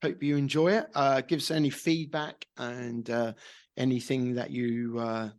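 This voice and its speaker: A man's voice: medium pitch, flat delivery, unhurried, darkish tone. Male podcast co-host, loose filler-heavy intro, mid-range voice.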